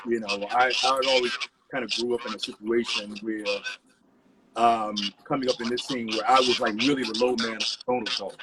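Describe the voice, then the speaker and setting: Laid-back man with noisy livestream audio. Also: medium pitch. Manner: laid-back, rambling, many "you know" fillers. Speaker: resident DJ guest. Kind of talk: casual storytelling interview. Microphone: video call.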